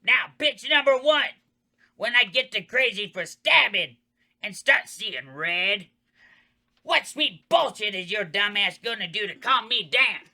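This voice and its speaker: A man's voice: sits high, lively intonation, measured pace, heavily acted. A man reading the part of a crude, volatile killer clown hosting a deadly contest, at a table read. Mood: sneering. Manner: crude, swaggering, erupts into shouting. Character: foul-mouthed killer clown host, male, volatile. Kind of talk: table read of a horror comedy.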